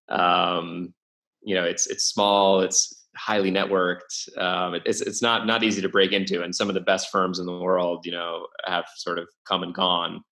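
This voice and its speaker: Low gravelly-voiced young man. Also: sharp tone. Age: young adult. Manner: hesitant, many fillers and restarts. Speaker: podcast guest, venture investor. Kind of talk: casual interview talk.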